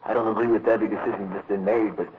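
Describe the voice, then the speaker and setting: Man acting defiant male agent, deep voice, persuasive, hard-edged, video game, muffled lo-fi audio. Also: level tone, rapid-fire, slight background noise, close to an everyday voice. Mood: firm. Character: defiant, disillusioned male agent turning rogue. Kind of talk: video game cutscene dialogue.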